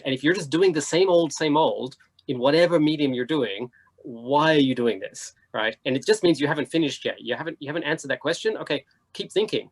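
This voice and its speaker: Male panelist, very quick delivery, slightly rough voice, blunt editor's advice, emphatic. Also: mid-range voice. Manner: emphatic, blunt, checks in with "right". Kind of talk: conversational panel discussion.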